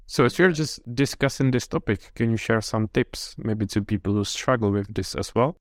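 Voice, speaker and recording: A man's voice: on the low side, normal speed, no echo, studio quality. A man hosting a podcast, reading a scripted introduction and then asking curious, friendly interview questions. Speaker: podcast host.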